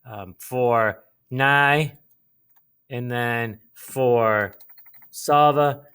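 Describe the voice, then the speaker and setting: A man gives instruction as a teacher would, in a clean, resonant voice at middling pitch. He takes his time, laying things out step by step. He pauses often and repeats phrases as he models the task aloud. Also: a bit bright, clear, resonant tone. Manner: step-by-step explaining, pausing and repeating himself.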